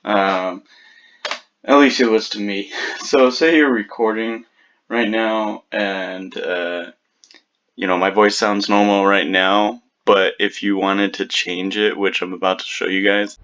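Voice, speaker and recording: A man with a fairly low voice talks viewers through a video tutorial in an informal, chatty way. The recording is a little dull and lo-fi, like a simple home setup. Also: steady tone, takes it slowly, fairly bright tone. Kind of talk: casual screen tutorial.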